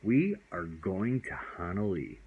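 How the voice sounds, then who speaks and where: A man's voice: low-pitched, very animated, measured pace, phone-quality sound, background noise, exaggerated voice. A man voicing a cheerful, kindly magic dragon in a bedtime storybook, chatty, playful and grandly whimsical. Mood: playful, inviting.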